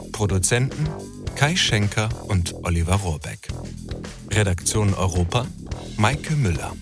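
A man reading scripted credits as an announcer, with clear and formal delivery. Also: lowish voice. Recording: loud guitar music under the voice. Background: loud guitar music.

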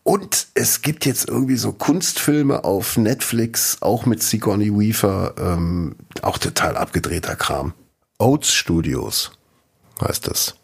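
A man with a fairly low, husky voice co-hosting a relaxed podcast. He trades dry, teasing remarks with his partner. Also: slow. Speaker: podcast co-host. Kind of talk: casual chat.

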